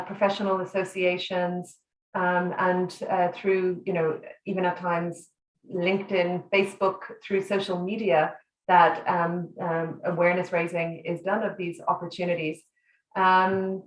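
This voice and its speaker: A woman with a low, even voice explains migration programs in a panel discussion. She speaks in a measured way and pauses often to search for words. The recording sounds roomy. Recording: roomy echo.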